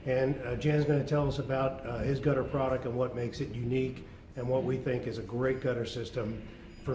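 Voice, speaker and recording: A man presenting a home-building show on site, talking in a measured way with frequent "uh"s. His voice sits at an ordinary pitch. The sound is very echoey, with clear background noise behind him. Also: mellow.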